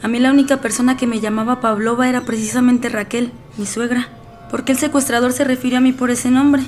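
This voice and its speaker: A worried, suspicious young wife played by an actress gives her testimony in an audio drama. She speaks fast in a fairly high voice, with faint music behind her. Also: fairly even intonation, darkish tone, close to an everyday voice.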